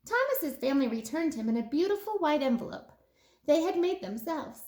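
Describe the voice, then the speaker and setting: High, very animated woman storybook reader. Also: a little fast, echoey room. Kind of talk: animated read-aloud children's story narration.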